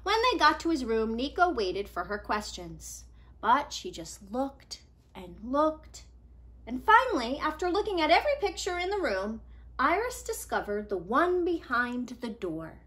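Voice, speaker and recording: A woman in her thirties reading a children's picture book aloud expressively, with deliberate dramatic emphasis. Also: high voice, big pitch swings, a bit bright. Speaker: read-aloud storyteller. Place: small living room at home, indoors.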